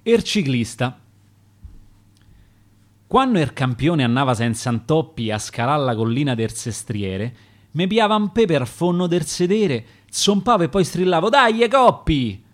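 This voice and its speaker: A man's voice: fairly high pitch, sing-song, a little slow. A man with a Roman accent reciting his own dialect sonnets for radio in a rhythmic, dramatic way. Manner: rhythmic, dramatic verse delivery.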